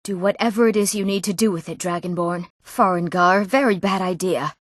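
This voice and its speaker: A woman's voice: lowish voice, fairly quick, dry recording, studio quality, lightly acted. A young woman playing an earnest, proper young townswoman and noblewoman in a fantasy video game, speaking politely and formally with careful enunciation. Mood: wary, serious. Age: young adult. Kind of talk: scripted fantasy game dialogue.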